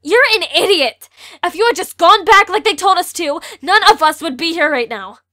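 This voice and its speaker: A woman's voice: very high-pitched, animated, moderate pace, noisy background. A young woman playing an outspoken, sarcastic teenage girl in an amateur audio drama. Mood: angry scolding.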